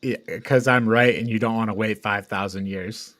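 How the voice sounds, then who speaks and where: Lowish-voiced man, very dry sound. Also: fairly even intonation, normal speed, a bit bright, no room sound. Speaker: podcast co-host. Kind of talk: casual film review chat.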